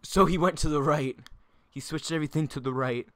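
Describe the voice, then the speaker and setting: A man's voice: fairly high pitch, fairly quick, a bit bright, no room sound. A young man hosting a casual chat, rambling and joking with lots of fillers. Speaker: host.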